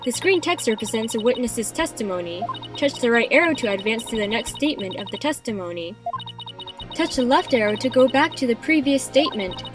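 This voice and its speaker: A feisty young female rookie lawyer voiced by a woman in a video game fan dub. Her voice is high and peppy, eager to explain things, with music running underneath. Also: lively intonation, brisk, lightly acted. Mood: eager, instructive.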